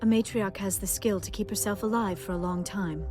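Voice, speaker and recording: An actress playing an earnest, proper young alien scholar in a video game cutscene. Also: female voice, medium pitch, fairly even intonation, brisk, mellow, natural voice. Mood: earnest, cautious. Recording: game music behind the voice.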